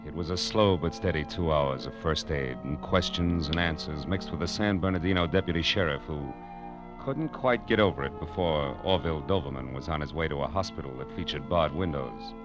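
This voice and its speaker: A man's voice: a bass voice, a little fast, over music. An American man playing a wry, tough private detective who narrates his case in 1940s radio drama style. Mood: hard-boiled narration. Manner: wry, sardonic, slangy. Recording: dull old radio broadcast recording.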